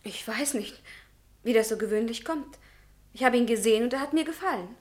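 A woman's voice: fairly high pitch, animated, brisk, natural voice. An actress in a radio play playing a passionate, headstrong young female student who speaks in an ardent, challenging way. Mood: dreamy, ardent. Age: young adult. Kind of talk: German radio drama acting.

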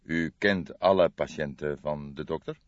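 A man voicing a kindly but insistent police detective in an audiobook, questioning in a measured way. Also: very deep voice, fairly even intonation, no room sound, lo-fi sound. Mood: calmly probing.

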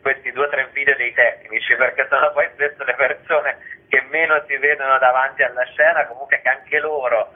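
A man talks over a thin, telephone-like connection in a live radio interview. His voice sits at an average pitch. He explains things discursively, piling up examples and repeating himself as he goes. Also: little pitch movement, brisk, breathy edge. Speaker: theatre festival organiser being interviewed. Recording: tinny phone line on radio.

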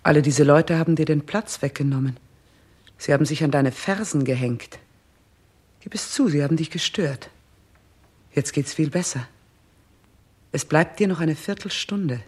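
A woman playing a stern, composed queen in a 1960s German radio play, speaking in a measured, solemn, commanding way. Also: deep voice, darkish tone, slightly rough. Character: stern, composed queen guiding a king toward death.

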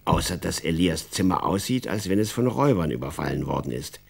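A male actor as the wry narrator of a children's radio drama, telling the story with animation in a gravelly, husky voice. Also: low voice, very animated, a little fast, soft background music, full character voice.

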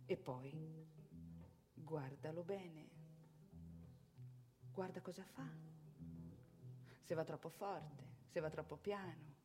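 An actress playing a seasoned street prostitute who coaches a newcomer in an emphatic, blunt theatrical radio monologue. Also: female voice, deep voice, takes it slowly, long pauses, music in the background. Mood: calm, cautionary. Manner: instructing, emphatic, blunt, second-person advice.

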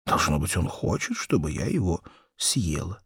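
A man plays a weary mother wolf from a storybook, grumbling to herself in irritation. The voice is husky and creaky, with a brooding tone. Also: low-pitched, very animated, normal speed, a bit bright, dry recording, studio quality, pitched below the performer's own voice, full character voice. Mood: annoyed.

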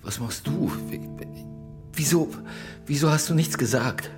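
An actor playing an anxious, insistent husband and police officer in a radio drama, speaking in a halting, pleading way. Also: male voice, fairly high pitch, expressive, over music. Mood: pleading, agitated.

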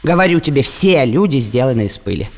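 A man's voice: medium pitch, very animated, fairly quick. An actor voicing a stormy, scolding Eastern European mother, emphatic and singsong, in a radio play. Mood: scolding, exasperated. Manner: exclamatory, singsong, rhetorical questions. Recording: long reverberant hall.

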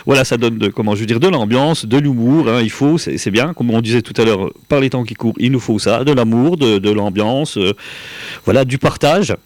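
A man's voice: medium pitch, a little fast, darkish tone, no room sound. A man hosting a casual radio interview, hesitating, repeating himself and often chiming in to agree.

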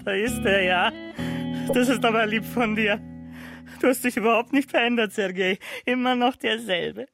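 A man's voice: sits high, normal speed, creaky. A man playing a sickly, fussy count who drinks, talking in a pleading, affectionate way. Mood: fond. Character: sickly aging male count, fond drinker. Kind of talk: radio drama acting. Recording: dry studio radio play, faint music.

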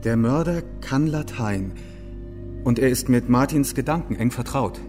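A man plays a reformer's level-headed companion in a historical radio play. The voice is a medium-pitched male one. He speaks in a measured, questioning, reflective way. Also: darkish tone, faint music behind, lightly acted. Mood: puzzled, reasoning. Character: calm, thoughtful male companion of a religious reformer. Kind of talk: German radio play acting.

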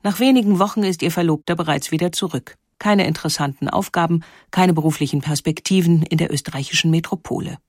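A woman narrating a scripted historical documentary in a measured, clearly phrased storytelling manner. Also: on the low side, a little mellow, no room sound, studio quality. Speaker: narrator of a historical audio feature.

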